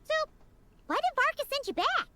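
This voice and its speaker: A woman voicing a small, cheeky, talkative childlike fairy companion in a video game, with a squeaky voice. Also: very animated, moderate pace, very noisy. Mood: curious, cheeky. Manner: refers to self in third person, sassy. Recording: game music behind the voice.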